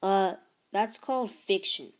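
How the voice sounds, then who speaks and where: A woman's voice: mid-range voice, slow, natural voice. A woman voicing a frank, unimpressed schoolgirl in a storybook, with a dry, sarcastic delivery. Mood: sardonic.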